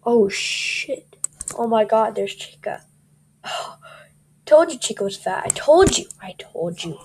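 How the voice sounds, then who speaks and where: A young woman with a scratchy voice talks slowly through a game, jittery and swearing as she calls out to viewers. Her pitch sits a little high. Faint noise hums in the background. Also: sing-song, darkish tone. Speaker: gameplay commentator. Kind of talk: casual gameplay commentary.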